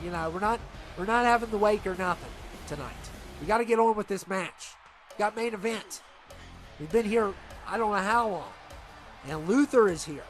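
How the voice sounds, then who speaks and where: A man with a stuffed-up nose doing rambling, excitable play-by-play for a video game wrestling show. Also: sits high, normal speed, faint music behind. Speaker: commentator.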